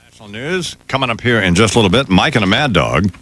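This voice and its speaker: A man hosting a morning radio show with loose banter, speaking in a halting, drawling, needling manner. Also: lowish voice, animated, a bit bright. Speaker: radio talk show host.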